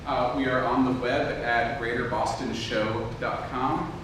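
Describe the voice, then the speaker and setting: Man, informal self-deprecating lecturer, mid-pitched, recorded from a distance in a noisy room. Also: steady tone. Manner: casual, self-deprecating, quick to chuckle. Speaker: audio drama producer giving a lecture. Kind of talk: informal talk with audience questions. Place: indoor room with an audience. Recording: very echoey room, distant mic, noisy rumble.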